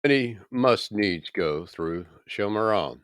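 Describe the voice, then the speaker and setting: A man with a very low voice reads scripture aloud. He goes very slowly and solemnly, leaning on key words with dramatic emphasis. The sound is dry and close, with no room around it. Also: animated, a bit bright, no room sound. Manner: measured, emphatic, dramatic phrasing. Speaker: scripture reader. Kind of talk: solemn scripted Bible reading.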